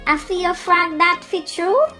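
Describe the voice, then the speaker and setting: A woman's voice: extremely high pitch, unhurried, pushed and bright, faint music behind, pitched above the performer's own voice, full character voice. A woman playing a helpful, folksy lady on a donkey in a storybook, speaking animatedly. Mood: eager.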